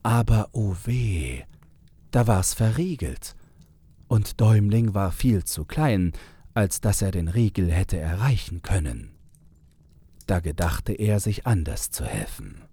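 A man narrating a scripted fairy tale in a measured storytelling manner. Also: deep voice, lively intonation, mellow, breathy edge.

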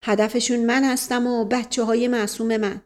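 Storybook father, female reader acting: courteous, resigned man making polite pleas, measured and earnest. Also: on the high side, level tone, a little mellow, lightly acted. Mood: resigned, pleading. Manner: polite, pleading, proverb-quoting.

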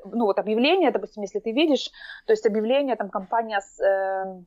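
Woman guest, mid-pitched, halting delivery with lots of fillers, dry slightly dull audio. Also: a little mellow, no echo. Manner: hesitant, many fillers and restarts. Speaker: podcast guest. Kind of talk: casual interview chat. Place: lives in Norway.

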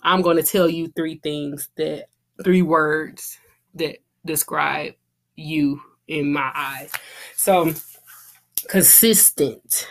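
A woman's voice: low voice, steady tone, slow. Chatty woman in her thirties, podcast host. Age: 30s. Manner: chatty, runs on with fillers like "you know" and "like". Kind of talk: casual podcast chat.

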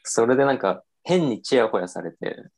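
A man's voice: mid-range voice, expressive, normal speed. A young man casually telling a self-mocking story on a podcast, with lots of fillers.

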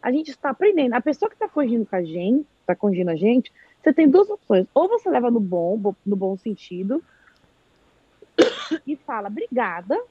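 Brazilian woman, casual live chat guest, husky, high-ish, animated storyteller; dry, dull lo-fi audio. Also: moderate pace. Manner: animated storyteller, acts out quoted speech.

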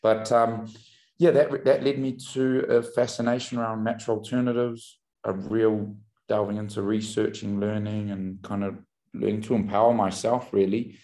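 A man, telling his story as a podcast guest in a candid, reflective way with many pauses and restarts. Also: fairly low pitch, level tone, mellow. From New Zealand. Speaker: podcast guest, brand founder. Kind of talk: casual interview storytelling.

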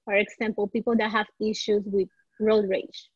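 A woman's voice: medium pitch, flat delivery, normal speed, husky, no echo, lo-fi sound. A woman from Rico, a coach being interviewed, searching for words and restarting her sentences as she talks. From Puerto Rico. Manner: searching for words, frequent restarts, soft laughs. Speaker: life coach, podcast guest. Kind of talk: conversational interview.

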